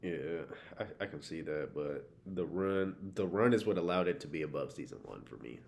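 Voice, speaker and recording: Man, very low voice, heavy vocal fry, blunt slangy podcast talk, profane, faint noise behind. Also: moderate pace, a little mellow. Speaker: podcast co-host. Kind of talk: casual chat, TV show discussion.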